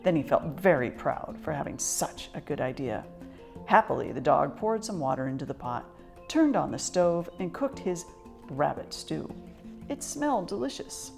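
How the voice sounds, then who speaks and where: Female storybook reader, lower-pitched voice, very animated expressive narration for young children. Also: normal speed, creaky, soft background music.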